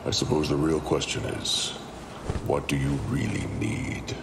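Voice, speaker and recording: An actor playing a big, patient, wise blacksmith mentor with a deep, gravelly voice in a fantasy audio drama. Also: male voice, a little slow, noisy background. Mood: patient, probing.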